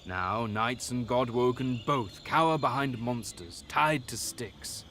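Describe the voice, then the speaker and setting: A man playing a grave, weary elf knight in a fantasy game, speaking in a measured, formal way. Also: medium pitch, a bit bright, a little hiss or hum. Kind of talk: fantasy game character acting.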